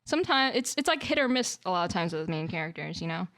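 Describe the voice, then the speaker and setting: A woman's voice: fairly low pitch, sing-song, quick pace, a bit bright. A woman co-hosting a podcast, chatting casually and rambling, with lots of fillers and laughter. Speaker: podcast co-host. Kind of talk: casual anime discussion.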